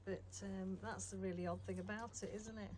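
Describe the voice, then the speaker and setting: A woman's voice: medium pitch, fairly even intonation, normal speed. A woman with an English accent chatting casually and informally while filming and presenting on a handheld camera. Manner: chatty, informal, adds tag questions. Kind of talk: casual unscripted chat. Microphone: handheld phone or camera, filmed by the speaker. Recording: background noise, handheld camera sound.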